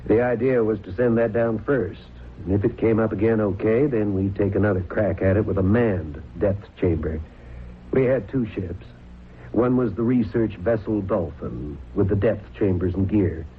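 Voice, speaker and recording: A man plays a plain-spoken American navy commander in an old radio drama. His voice is low, his delivery informal and matter-of-fact. It comes through a tinny band with some noise. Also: close to an everyday voice. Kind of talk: vintage radio drama acting.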